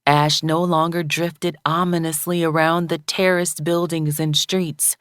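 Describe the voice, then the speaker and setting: A woman reading a story aloud as an audiobook narrator, in a solemn, measured and dramatic way. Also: sits low, little pitch movement, fairly bright tone, clear, resonant tone, no room sound, clean studio sound.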